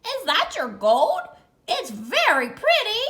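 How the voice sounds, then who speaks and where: A woman's voice: very high voice, wildly swooping pitch, slow, bright, forceful tone, pitched above the performer's own voice, full character voice. A woman voicing a cheerful, talkative female shaggy red dog in a storybook reading. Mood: bubbly. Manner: bubbly, talkative, eager.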